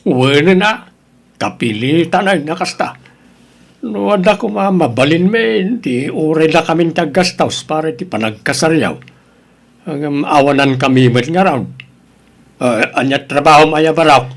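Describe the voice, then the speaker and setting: A man's voice: fairly high pitch, animated, measured pace. A man playing a stern, exasperated middle-aged father in an Ilocano audio drama, blunt and questioning, with an Ilocano accent. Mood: grudging, resigned. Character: stern, exasperated father grilling his daughter's boyfriend.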